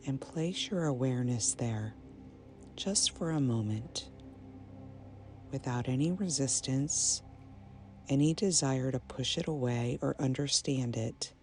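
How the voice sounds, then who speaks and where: A woman guiding a meditation in a soothing, measured manner, pausing between short phrases. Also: very deep voice. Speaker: meditation guide. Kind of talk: guided meditation, slow and soothing. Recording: soft binaural music bed. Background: ambient binaural meditation music.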